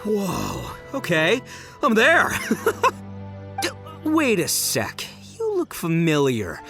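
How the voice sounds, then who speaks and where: A man's voice: high-pitched, huge pitch swings, very slow, music in the background, exaggerated voice. A man voicing a brash, boastful young beetle-fighting champion in a video game, slangy and boisterous, laughing often. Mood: animated.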